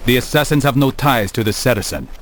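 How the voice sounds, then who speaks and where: An actor playing a calm, resolute medieval assassin in a video game, speaking in a measured, terse and dry way. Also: male voice, medium pitch, slight background noise, lightly acted. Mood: dry, composed. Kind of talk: scripted video game voice acting.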